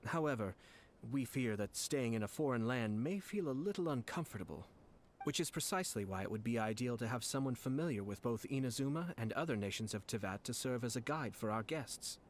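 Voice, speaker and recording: An actor playing a composed, formal young nobleman official who speaks politely and in measured phrases. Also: mid-range voice, expressive, lightly acted.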